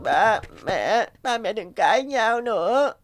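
An earnest, anxious small boy in a cartoon. The voice is fairly high and pleading, and music plays underneath. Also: lively intonation, slow. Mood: concerned. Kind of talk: cartoon voice acting. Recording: music bed behind the voice.

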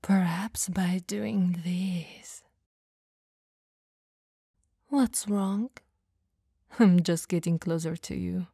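A woman playing an elegant, dominant vampire lady who teases flirtatiously yet caringly in an ASMR roleplay, softly and breathily. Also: fairly low pitch, fairly even intonation, no echo, clean studio sound, exaggerated voice. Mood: teasing, seductive. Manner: soft, breathy, slow teasing delivery, often dropping to whispers.

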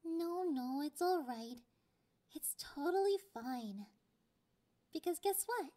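A woman voicing a clingy, possessive young catgirl girlfriend in an intimate ASMR roleplay, taunting in drawn-out phrases that slip into breathy whispers. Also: sits high, warm tone.

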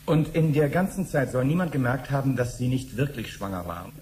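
An actor playing a brisk male interrogator in a 1970s radio play, questioning and a little impatient. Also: medium pitch, lively intonation, a little mellow, a little hiss or hum, close to an everyday voice. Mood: probing.

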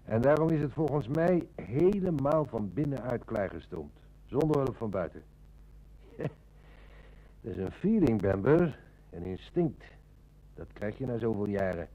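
A man's voice: mid-range voice, expressive, a little slow, pauses often, mellow, natural voice, low-quality recording. A middle-aged man acting a seasoned, confident police inspector in a Dutch radio play. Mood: self-assured.

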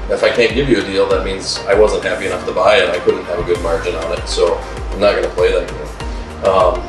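A middle-aged man with a low voice answers interview questions about his lumber business, speaking plainly and dropping frequent "um"s. He sits a little away from the mic in a wood-paneled room, so his voice carries a roomy echo. Music plays clearly behind him. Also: little pitch movement, fairly quick, recorded from a distance. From Wisconsin, USA. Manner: plain-spoken, frequent "um" fillers.

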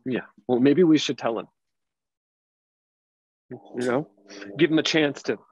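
In a dull, dry recording, a man plays a hurt, accusing ex-lover in his thirties, well-to-do and intense. His voice is husky, read as staged drama. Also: mid-range voice, unhurried, darkish tone, no echo. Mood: bitter, incredulous. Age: 30s. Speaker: actor at a table read. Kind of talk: dramatic script reading.

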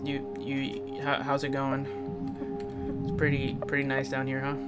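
A man casually commenting on his own gameplay, rambling, chatting to the enemies and breaking into sudden excited outbursts. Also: medium pitch, measured pace. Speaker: let's play gamer. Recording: loud game music behind.

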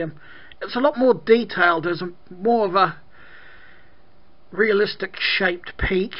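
A slow-talking British man with a fairly high, croaky voice, chatting his way through a toy review, pausing for ums and throat clearing. The sound is dull and lo-fi, caught by a camera mic in an indoor room. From Britain. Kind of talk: casual product review.

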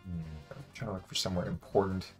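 A man's voice: low voice, level tone, fast talker, breathy edge. A young adult man thinking aloud in casual chat as he plays a guessing game. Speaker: player in a geography guessing game. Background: music in the background.

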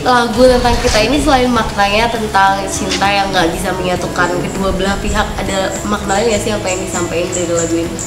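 A woman's voice: medium pitch, flat delivery, quick pace, hardly any pauses. A young woman hosts a casual interview, chattily asking questions and echoing back the answers. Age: young adult. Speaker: interviewer, host. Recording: roomy echo, loud music behind.

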